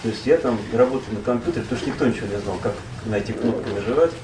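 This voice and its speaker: A man from Russia, a poet and translator, recalls his past as a guest in a relaxed, rambling conversation full of fillers and self-corrections. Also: medium pitch, rapid-fire, reverberant, distant mic, noisy background.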